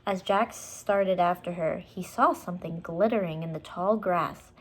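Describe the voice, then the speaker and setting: A woman's voice: on the low side, measured pace, breathy edge. A woman storyteller narrating a children's book, with short explanatory asides for young listeners.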